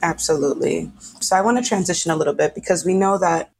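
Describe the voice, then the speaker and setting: A woman interviewing a guest, setting up questions in a measured, explanatory way. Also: on the low side, creaky, a little mellow.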